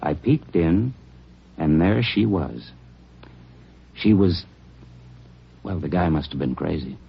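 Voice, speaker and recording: Nervous male hotel clerk, husky, halting, lively pitch, vintage radio drama. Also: exaggerated voice. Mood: shaken. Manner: halting, rambling. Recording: muffled vintage radio broadcast.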